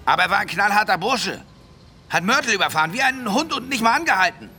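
A man's voice: high-pitched, lively intonation, fast, sharp tone, a little hiss or hum, full character voice. An actor playing an arrogant, aggrieved wealthy man in a German radio drama, blustering and indignant as he defends himself. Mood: defensive, agitated.

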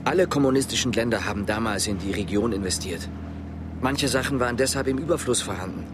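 A man's voice: mid-range voice, fast talker, natural voice. A man playing a guarded, brooding East German policeman on the run in a radio drama, speaking tersely and with understatement. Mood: resigned. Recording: noisy, car and traffic sounds. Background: vehicle ambience.

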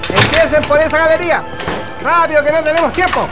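A man playing a bossy prison guard commander in a radio drama, shouting clipped orders at inmates. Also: very high voice, lively intonation, brisk, loud music behind the voice. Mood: barking orders.

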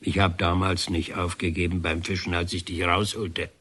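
A banned painter in a radio play, bitter and defiant. His voice is bright and forceful with a husky edge. He speaks with biting sarcasm and sharp emphasis. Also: sits low, level tone, moderate pace. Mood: quietly defiant. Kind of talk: German radio drama acting.

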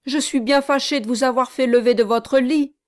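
A woman's voice: high voice, steady tone, moderate pace, pitched above the performer's own voice, lightly acted. A woman reading aloud, voicing a polite, apologetic young man asking for directions. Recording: dry close audiobook recording.

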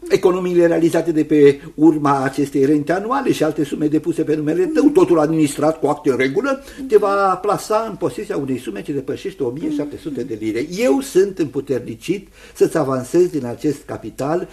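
Fussy, kindly elderly guardian, swooping pitch. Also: male voice, animated, darkish tone. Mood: reassuring, businesslike. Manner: halting, repetitive, chuckling, formal. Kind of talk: radio drama acting.

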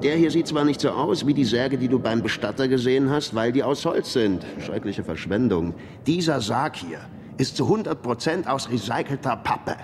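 An actor playing a smooth-talking, cheeky wheeler-dealer with pushy sales patter in a radio play. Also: male voice, medium pitch, expressive, moderate pace, in a large hall, slight background noise, lightly acted. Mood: cheeky, persuasive. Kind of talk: radio drama acting.

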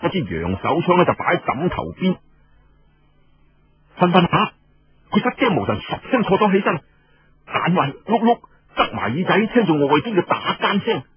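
A man's voice: high voice, very animated, normal speed, pauses often, breathy edge, muffled recording. A man with a Cantonese accent telling a story colloquially and dramatically, full of rhetorical questions and exclamations.

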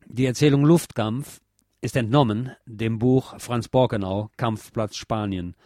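A man's voice: mid-range voice, measured pace, dark tone, dry recording, studio quality. A man reading scripted narration in the manner of a formal biographical note with credits.

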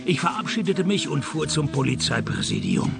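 An actor playing a wry, cynical private detective in a radio crime comedy, speaking in a dry deadpan way. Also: male voice, a little high, normal speed, gravelly, music in the background, heavily acted. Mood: dry narration. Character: wry, cynical male private detective, world-weary and unimpressed. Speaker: lead actor and first-person narrator.

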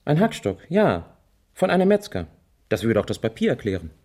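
Mellow, wry, analytical man. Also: medium pitch, wildly swooping pitch. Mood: pondering. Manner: deliberate, dry, thinking aloud. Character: wry, easygoing man turned methodical amateur analyst. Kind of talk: German radio crime drama dialogue.

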